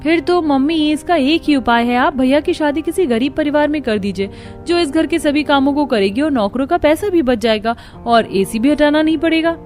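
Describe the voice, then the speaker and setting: A woman's voice: high voice, moderate pace, darkish tone, soft background music, pitched above the performer's own voice, close to an everyday voice. A woman voicing a spoiled, scheming young rich daughter in a cartoon story, haughty in manner.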